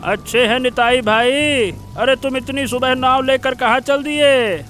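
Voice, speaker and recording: A man's voice: very high voice, steady tone, unhurried, fairly bright tone, faint music behind. A man playing a contented, proud family father in a cartoon story, affectionate and reflective. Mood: fond.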